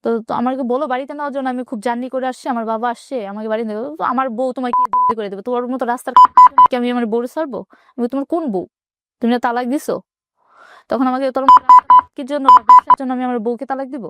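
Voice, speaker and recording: A woman with a Bangladeshi accent telling her personal story in an interview, haltingly and with repeated phrases. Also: sits high, big pitch swings, very noisy.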